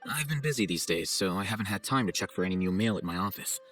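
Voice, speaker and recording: A man playing an arrogant young scholar in a video game, composed and deadpan, with a condescending dryness. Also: sits low, fast, soft background music. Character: cool, arrogant young male scholar, dry and sardonic.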